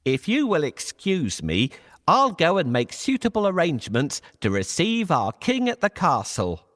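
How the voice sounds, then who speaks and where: A man's voice: fairly high pitch, huge pitch swings, measured pace, fairly bright tone, pitched above the performer's own voice, exaggerated voice. A man voicing a sly, bold talking cat who speaks persuasively and theatrically in a children's fairy tale. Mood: sly, confident.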